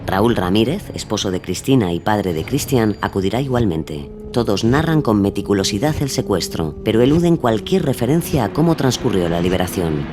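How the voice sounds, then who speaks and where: Low-voiced male documentary narrator. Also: animated, a little fast, few pauses, noisy background. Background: soft music.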